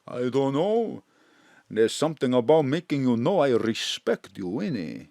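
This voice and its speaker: An actor playing a cheerful, flirtatious foreign neighbour who mixes up English words. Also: male voice, mid-range voice, measured pace, gravelly, no room sound, clean studio sound. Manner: warm, flirtatious, lively. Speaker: Sakis, café owner friend. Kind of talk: live audio drama acting. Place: home studio.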